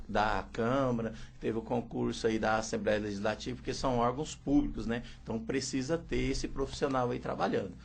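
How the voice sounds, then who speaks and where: A man's voice: medium pitch, vocal fry, a little hiss or hum. A man, a teacher and interpreter, explaining steadily in an interview and often adding "né". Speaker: sign language teacher and interpreter. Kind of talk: radio interview answers.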